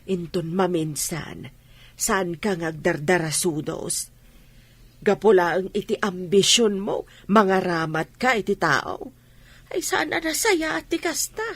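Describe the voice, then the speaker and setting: A woman's voice: on the low side, expressive, measured pace, husky, no echo, exaggerated voice. A woman with an Ilocano accent acting a reproachful, preachy village mother in a radio drama. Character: scolding, moralizing village mother of a pregnant daughter.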